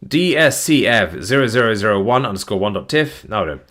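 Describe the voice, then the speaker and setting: A man with a somewhat low voice reads long file listings on a stream in a flat, plodding rhythm. He sounds worn out, and his voice is beginning to go. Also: brisk. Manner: flat, plodding reading of numbers with weary asides. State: tired, voice starting to go. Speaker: document narrator.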